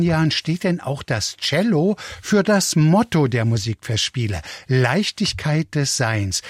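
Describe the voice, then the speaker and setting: Slow-talking male interviewer. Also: on the high side, no echo. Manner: slightly flustered by tech trouble, friendly. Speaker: interviewer host. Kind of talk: live interview moderation.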